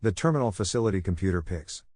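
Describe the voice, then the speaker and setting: Man, low-pitched voice, dry close sound, scripted technical audiobook narration, measured instructional delivery. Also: animated, darkish tone, no echo.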